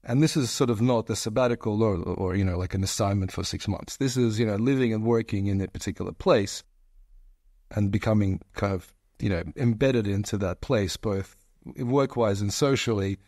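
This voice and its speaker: A man's voice: fairly low pitch, moderate pace, darkish tone, dry recording, studio quality. A man in his forties with an Australian accent, chatting as an interview guest, long-winded with many restarts. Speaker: guest, investor. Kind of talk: casual interview chat.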